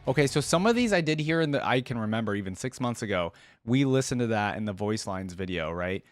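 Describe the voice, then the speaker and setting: Animated low-voiced man reacting as a fan. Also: fast talker, dry recording, clean studio sound. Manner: amazed, slangy fan talk. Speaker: reaction video host. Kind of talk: casual reaction commentary.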